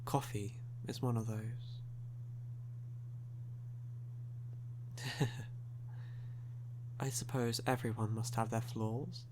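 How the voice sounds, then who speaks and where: Man acting a very slow-talking, suave vampire. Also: medium pitch, little pitch movement, noisy background, lightly acted. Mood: polite, sly. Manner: slow, drawn-out, teasing, with breathy chuckles. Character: suave, sly, hungry male vampire, ageless predator. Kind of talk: ASMR audio roleplay acting.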